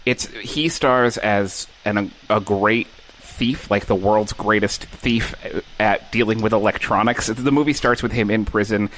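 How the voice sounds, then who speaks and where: A man's voice: lowish voice, steady tone, unhurried, a bit bright, a little hiss or hum, poor audio. A man co-hosting a casual podcast, wry and rambling as he retells a film. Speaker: podcast co-host.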